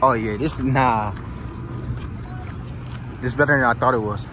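A young man hosting his own vlog outdoors on a city pier, talking in excitable, slangy casual chat and laughing often. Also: medium pitch, measured pace. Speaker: vlogger host. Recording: noisy outdoor city street.

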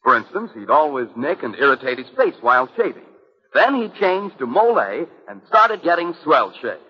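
A man's voice: on the high side, big pitch swings, moderate pace, slightly rough. A man working as a commercial announcer, reading scripted advertising in a 1940s radio style. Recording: muffled, reverberant old radio recording.

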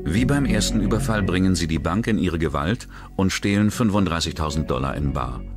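A man giving a measured, grave scripted narration for a crime documentary. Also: sits low, lively intonation. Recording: voice-over over loud music.

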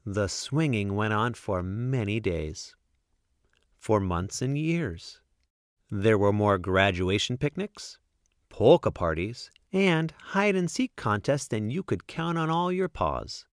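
Low-pitched man, animated storybook reader. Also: takes it slowly, dry recording, clean studio sound. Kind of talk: expressive read-aloud of a children's picture book.

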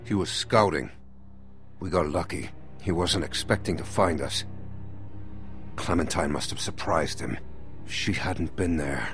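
A man playing a protective, wary middle-aged father in a survival video game, speaking in a grave, insistent, deliberate way. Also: deep voice, a bit bright, faint music behind.

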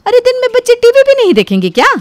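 A woman voicing a defiant, quarrelsome elder daughter-in-law in an animated family story, arguing indignantly. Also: very high-pitched, quick pace, no echo, pitched above the performer's own voice, exaggerated voice. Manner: argumentative, indignant.

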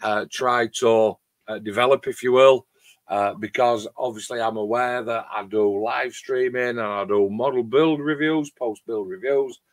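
A middle-aged man with a loud voice, chatting casually and in a rambling way as host of a hobby livestream. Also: mid-range voice, steady tone, a little slow, no echo. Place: home garage workshop shed.

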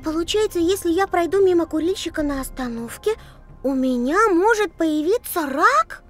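A woman's voice: very high-pitched, animated, normal speed. A woman voicing a curious, earnest little girl in an educational children's cartoon, inquisitive and neatly enunciated. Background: music.

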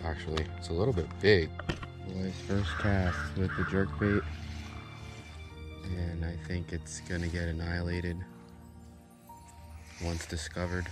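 A young man outdoors giving upbeat, casual commentary on his fishing trip and calling out to his viewers, recorded on a body-worn action camera. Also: low-pitched. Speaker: fishing vlogger, host. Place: outdoors on a grassy canal bank. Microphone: wide-angle action camera on his body. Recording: music playing under the voice. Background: music.

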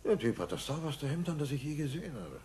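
An actor playing a bumbling, earnest, excitable middle-aged cartoon father in a German-dubbed audio play, speaking in a declamatory way. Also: on the high side, fast, crowd noise. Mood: hesitant, muttering. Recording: noisy old cassette audio play.

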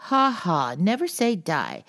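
A shrill, cheeky parrot squawks and mimics in a high, loud voice, put on by a woman reading aloud. Its cries swoop sharply up and down. The sound is close and dry. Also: huge pitch swings, slow pace, no echo, full character voice. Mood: squawking.

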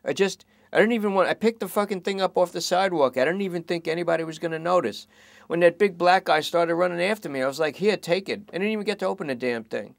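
A man voicing a self-pitying junkie thief who pleads and rambles under police questioning, in a noticeably higher voice than his own narration. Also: fast talker, gravelly, no echo. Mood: pleading. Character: whiny petty-thief junkie man in custody.

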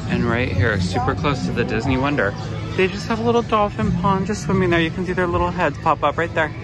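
A man's voice: on the high side, animated, quick pace, over loud music. A man in his thirties vlogging a family trip outdoors, talking in a gushing, playful, chatty way into a handheld camera. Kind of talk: casual travel vlog. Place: outdoors at a sunny cruise port.

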